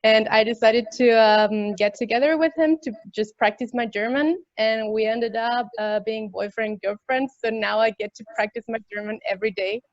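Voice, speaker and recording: A woman from Chile introducing herself in a friendly, halting way, with many fillers. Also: fairly high pitch, steady tone, no room sound. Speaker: German tutor. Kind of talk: casual self-introduction. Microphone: video call. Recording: dry, dull lo-fi call audio.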